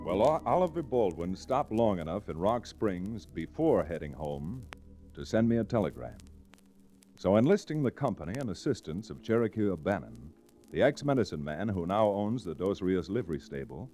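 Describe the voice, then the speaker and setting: An actor playing a level-headed, educated frontier lawyer hero in an old-time radio western, calm and measured. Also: male voice, deep voice, soft background music. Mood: wry narration. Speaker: lead actor and in-character narrator. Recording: restored vintage radio drama recording.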